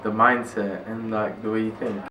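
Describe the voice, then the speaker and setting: A man's voice: fairly low pitch, fairly even intonation, moderate pace. A young man from Italy, talking hesitantly with many filler words in an interview. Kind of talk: personal reflection in interview. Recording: echoey, distant mic with loud ambient noise.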